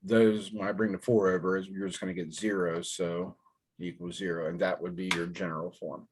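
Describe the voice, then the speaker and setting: A man teaching a math class, hoarse and raspy from a sore throat, walking through problems step by step with many ums and pauses. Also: deep voice, level tone. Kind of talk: classroom lecture walkthrough.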